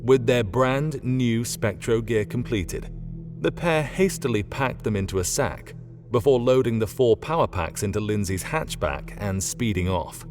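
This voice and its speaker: A man reading a scripted story as an audiobook narrator, in an expressive storytelling manner. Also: medium pitch, a little slow, faint music behind.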